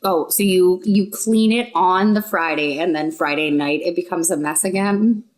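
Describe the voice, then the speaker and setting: A woman from Ontario, Canada, guest co-hosting a podcast in casual chat from a bedroom, quick and teasing and often laughing. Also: fairly low pitch. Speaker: guest co-host.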